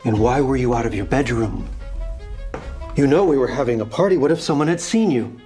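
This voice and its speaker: A man's voice: mid-range voice, lively intonation, a little fast, mellow, close to an everyday voice. A man acts out a middle-aged nobleman father in an audio drama, over background music. He questions pompously and sternly, then coaxes with little "hmm" tags, always calculating. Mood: stern, probing. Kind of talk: dramatised audio fiction acting.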